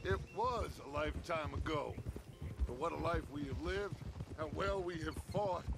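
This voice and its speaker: An actor playing a charismatic middle-aged American outlaw gang leader, speaking in a persuasive, theatrical, grandiloquent way in a western game. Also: male voice, on the high side, normal speed, creaky, background noise. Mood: hopeful, commanding. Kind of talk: dramatic western game cutscene acting.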